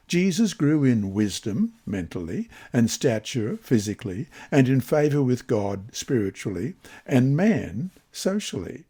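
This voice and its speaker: A man from Australia reads a scripted Bible study lesson aloud, with measured, deliberate phrasing and emphatic pauses. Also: mid-range voice, warm tone, husky. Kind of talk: scripted devotional reading.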